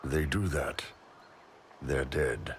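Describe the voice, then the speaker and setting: A man playing a big, gruff, horned mercenary captain in a fantasy game, blunt, loyal and dryly humorous. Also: a bass voice, extremely slow, full character voice. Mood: grim, resigned. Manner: blunt, laconic, dry-humoured. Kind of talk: cinematic fantasy game dialogue. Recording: background noise.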